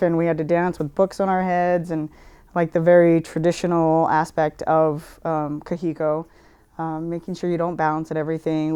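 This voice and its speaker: A young woman who works as a sound technician in theater, answering interview questions in a candid, halting way. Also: sits low, a little mellow, clear, resonant tone. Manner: candid, hesitant with fillers.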